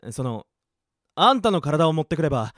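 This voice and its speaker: A man plays a gruff, exasperated teenage hero in an anime radio drama. The delivery swings widely and is blunt, recorded dry with some music behind. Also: on the high side, very animated, normal speed, fairly bright tone, no echo. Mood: wary, resigned. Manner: blunt, grumbling retorts. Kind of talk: Japanese anime-style radio drama acting.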